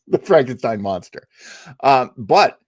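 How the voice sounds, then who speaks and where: A man's voice: mid-range voice, big pitch swings, slow pace, darkish tone, no echo, clean studio sound. A man speaking as a radio show host, giving a casual, rambling live introduction like an enthusiastic, chatty storyteller. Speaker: host of an old-time radio listening show.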